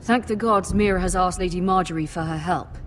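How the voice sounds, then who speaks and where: A woman acts out a dignified, middle-aged lady of a noble house. She speaks with calm, composed authority. Her voice sits fairly low. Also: fairly quick, faint music behind, natural voice. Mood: authoritative.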